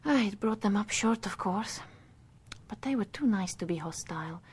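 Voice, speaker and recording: Candid woman with mid-pitched voice. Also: lively intonation, normal speed, husky. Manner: intimate, reflective storytelling. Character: candid, gentle female artist, inspector's wife. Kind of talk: radio drama acting.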